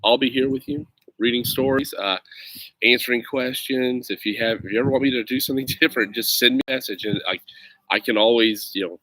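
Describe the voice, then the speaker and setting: A man's voice: mid-range voice, a little fast, bright, forceful tone, no room sound, low-quality recording. Easygoing man reading stories to kids. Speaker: reader of children's stories, teacher-like host. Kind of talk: casual chat and expressive picture book read-aloud. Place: outdoors in a grassy yard on a windy day. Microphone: laptop at about arm's length.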